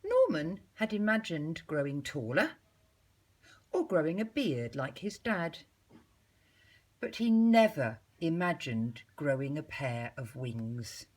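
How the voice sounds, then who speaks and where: A woman reads a children's story with very lively, swooping intonation. Her voice sits a little low and has a husky grain. She takes her time, pausing deliberately like a teacher reading to a class of little ones. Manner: measured, animated storytelling with deliberate pauses.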